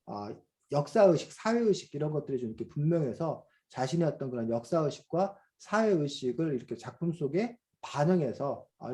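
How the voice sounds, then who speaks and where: A middle-aged man teaching a children's reading class over a video call, explaining slowly with many fillers and questions to the students. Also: mid-range voice, mellow. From South Korea. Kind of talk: online class lecture to children.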